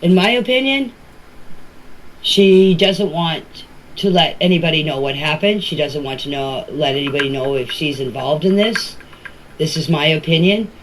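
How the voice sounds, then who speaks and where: A woman's voice: sits low, moderate pace. Older Maritime Canadian woman, noisy voice clips. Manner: repeats and rephrases herself. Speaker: family member speaking about a case. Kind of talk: informal spoken statement answering questions. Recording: noisy amateur voice clips with clicks.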